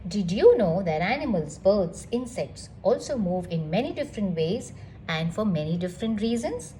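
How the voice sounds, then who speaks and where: A woman reading a picture book aloud to children in an expressive, engaging storytelling style. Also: mid-range voice, normal speed, a little hiss or hum, low-quality recording. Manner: animated, addresses child listeners with questions.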